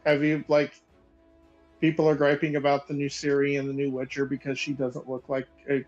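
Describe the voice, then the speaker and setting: A man's voice: medium pitch, completely flat delivery, moderate pace, highs cut off, faint music behind. A man from Missouri chatting casually on a panel, blunt and dismissive. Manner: blunt, dismissive of online griping. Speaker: podcast panelist. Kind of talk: casual group chat.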